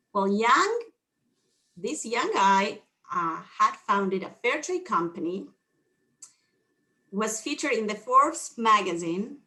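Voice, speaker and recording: A woman's voice: on the high side, bright, forceful tone, low-quality recording. A woman from Argentina with an Argentine Spanish accent, giving a welcoming introduction as host of a community event, earnest and a little nervous.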